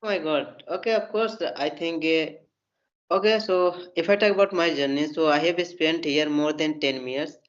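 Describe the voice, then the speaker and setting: A man's voice: a little high, fairly even intonation, normal speed. Hindi-speaking man, full of "you know". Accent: Indian English. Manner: repetitive, leans on "you know" and "oh my God". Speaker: English speaking practice partner. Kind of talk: English practice conversation. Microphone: video call. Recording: muffled video call audio.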